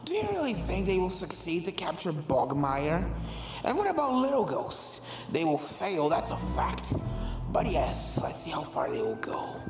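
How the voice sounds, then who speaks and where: A performer voicing menacing, mocking talking ghost portraits of ponies in a haunted manor, slow and taunting. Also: medium pitch, huge pitch swings. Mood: scornful. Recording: echoey voice with music behind.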